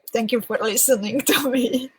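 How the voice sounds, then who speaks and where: A young woman with a Hungarian accent chats on a live stream at a slow, easy pace. Her voice sits a little high, and she thinks aloud with restarts as she answers viewer comments. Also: breathy edge, poor audio. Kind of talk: casual live-stream chat.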